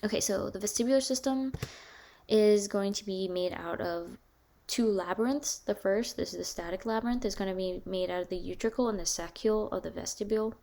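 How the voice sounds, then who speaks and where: A woman lecturing casually on hearing and balance, with a higher voice and many fillers and restarts. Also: a little fast, dark tone. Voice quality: higher-pitched voice.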